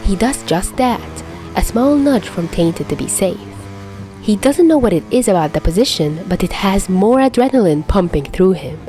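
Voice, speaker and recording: A woman narrates a scripted story in an amateur audiobook style. Her medium-pitched voice moves up and down expressively and dramatically, with light music underneath. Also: animated, normal speed, dark tone. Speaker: amateur fan fiction narrator.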